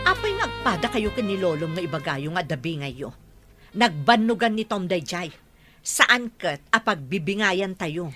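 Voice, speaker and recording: A woman's voice: lowish voice, sing-song, normal speed, sharp tone, faint music behind. A woman acting an earnest, admonishing mother in an Ilocano radio drama, full of questions, with an Ilocano accent. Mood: scolding. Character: earnest scolding mother, loyal to her husband's earnings.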